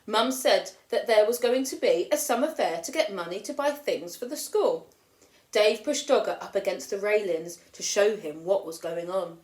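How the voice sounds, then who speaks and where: A woman teacher reading a children's picture book aloud with expressive storytelling, lifting her voice for the children's lines. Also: mid-range voice, normal speed. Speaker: primary school teacher. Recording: roomy echo.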